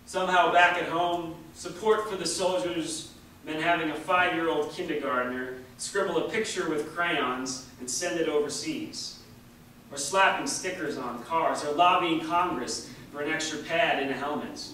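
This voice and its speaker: A man giving a deliberate, emphatic reading of a written political essay at a public event. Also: on the high side, a bit bright. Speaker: reader at a staged public reading. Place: large indoor hall at a public event. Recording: echoey hall from far off, faint noise.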